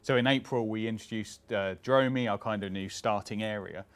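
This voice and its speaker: A man answering interview questions as a game designer, relaxed and explanatory with frequent "kind of" fillers. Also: on the low side, a little fast.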